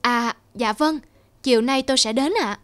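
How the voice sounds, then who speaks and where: Woman acting a high-voiced, gentle cartoon wife. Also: moderate pace, close to an everyday voice. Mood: subdued. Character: caring, self-sacrificing young wife, quietly resolute.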